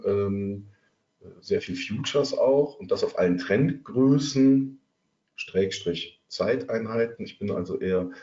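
A man in his thirties with a cold leads an online trading webinar, pausing often and filling gaps with hesitations. His voice sits fairly low. The sound is roomy and a little muffled, like webinar audio. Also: a little mellow. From Germany. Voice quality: halting, many filler sounds. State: has a cold. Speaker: trading coach. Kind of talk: webinar presentation.

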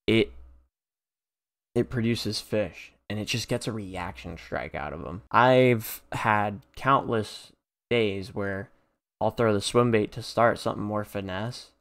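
A young man with a somewhat low voice talks through his fishing picks in an easygoing, enthusiastic way, leaning on his own catches and stories. He sounds like he's at his desk in a small bedroom, speaking into a nearby mic while he works through a screen. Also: smooth. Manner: enthusiastic, conversational, draws on personal experience. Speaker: fishing channel host. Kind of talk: casual tier-list commentary over screen recording. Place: small bedroom with purple lighting, indoors.

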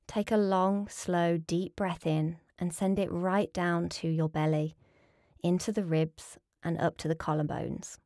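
A woman with a fairly low voice guides a restful meditation. She gives calm, soothing instructions and leaves gentle pauses between phrases. The recording is close and dry, with little room sound. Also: no room sound. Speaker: yoga nidra teacher.

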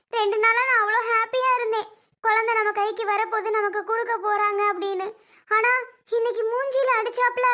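A woman performs a distraught young woman in an amateur family drama. Her voice is extremely high, almost childlike. She pleads insistently and sounds tearful. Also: sharp tone.

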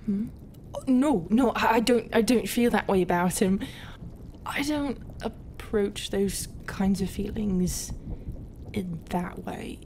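Casting: actress as a flustered, soft-hearted lady pirate captain for intimate audio roleplay; unhurried, hesitant, half-whispered, over noticeable ambience. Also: mid-range voice, slight background noise. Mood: soft, wistful. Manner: hesitant, halting, often breathy half-whisper. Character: conflicted, flustered female pirate captain, gruff but tender. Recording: steady ambient sound effects behind voice.

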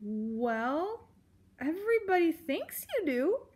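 A woman's voice: high voice, very animated, slow pace, a little mellow, voice pushed up, full character voice. A woman voicing a timid young female storybook mouse, stammering and earnestly pleading. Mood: hesitant, puzzled.

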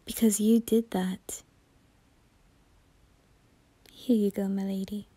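A woman playing a calm, polite young maid in an ASMR roleplay, speaking in a hushed, soothing, deferential way. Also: mid-range voice, slow pace, husky, no echo. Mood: gentle, reassuring.

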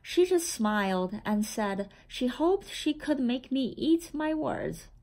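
A woman reading a children's picture book aloud in a deliberate, expressive storytelling manner. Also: sits high. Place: indoor room with framed certificates on the wall.

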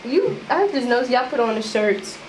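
A woman's voice: on the high side, expressive, normal speed, crowd noise, low-quality recording. A woman in her twenties chatting casually as a vlogger, recorded on a handheld camera indoors. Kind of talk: casual behind-the-scenes vlog chat. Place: indoors backstage at a school theater. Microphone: handheld camera at arm's length. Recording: loud music over the voice. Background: music.

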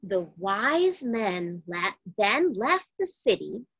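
A woman reading a story aloud to children with deliberate, dramatic phrasing. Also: medium pitch, big pitch swings, a bit bright, slightly rough, dry recording. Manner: deliberate, dramatic phrasing with questions to young listeners. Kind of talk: expressive scripted read-aloud for children.

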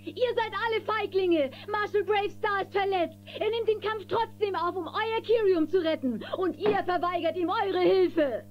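A scornful, outspoken frontier townswoman talks in a very high voice. She is fiery and forceful, in radio play style, on a dull cassette recording. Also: moderate pace, few pauses. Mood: indignant. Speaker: actor in audio drama. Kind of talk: German children's radio play acting. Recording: dull, noisy old cassette.

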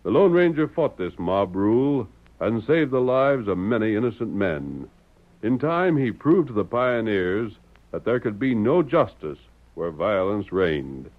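A man narrating a 1930s radio drama as announcer, dramatic, declamatory and formal. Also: mid-range voice. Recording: tinny narrowband 1930s radio recording. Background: music.

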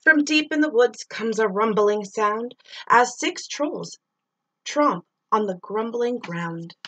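A middle-aged woman doing an expressive read-aloud of a rhyming children's story, drawing out words and sound effects like a teacher reading to pupils. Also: a little high, slow, a little hiss or hum.

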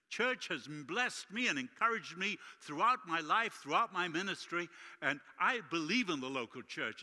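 A middle-aged man from Uruguay with a Spanish accent, preaching an animated sermon with jokes as guest speaker in a large church. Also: sits high. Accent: Latin American Spanish. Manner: animated, gesturing widely while walking the stage. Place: large church sanctuary stage. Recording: long hall reverb.

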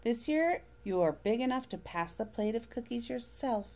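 A woman as a gentle, kind mother. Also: on the high side, lively intonation, moderate pace. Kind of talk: storybook reading.

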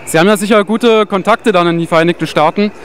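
Reporter, man, quick questions, higher voice, faint street noise. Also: fairly bright tone. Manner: brisk questioning. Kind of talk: interview questions. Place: outdoors at a protest.

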